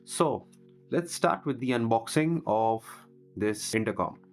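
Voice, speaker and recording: A man's voice: mid-range voice, expressive, a little slow. A man talking through a product unboxing as a vlogger, in a casual reviewing style. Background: music.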